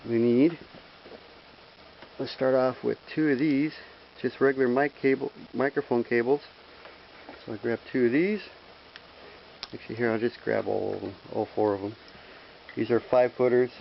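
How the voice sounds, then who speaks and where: Guy doing a casual how-to, gravelly voice, step by step, kinda muffled camera sound w some hiss. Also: mid-range voice, fairly even intonation, moderate pace, long pauses. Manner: plain-spoken, explains step by step. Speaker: presenter of a hands-on how-to video. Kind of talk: informal hands-on tutorial. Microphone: handheld camera microphone. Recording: dull lo-fi handheld camera audio with hiss.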